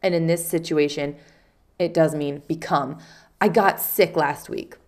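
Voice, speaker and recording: Woman teacher with a cold, nasal and stuffed up, unhurried, lowish voice, patient explainer. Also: fairly even intonation, a little mellow. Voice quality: congested, nasal. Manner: patient, explains with spoken examples. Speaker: English teacher. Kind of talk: casual vocabulary lesson.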